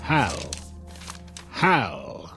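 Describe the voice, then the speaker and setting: A man's voice: mid-range voice, wildly swooping pitch, very slow, over music, full character voice. A man playing a glib, mischievous, devilish salesman in a radio drama, with wheedling, theatrical patter. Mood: ingratiating.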